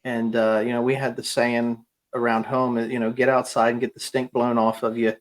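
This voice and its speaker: A man around forty hosts a podcast, chatting casually and chiming in often with his guest. His voice sits at a middling pitch. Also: level tone, normal speed, rough recording. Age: 40s. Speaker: podcast host. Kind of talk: casual interview chat.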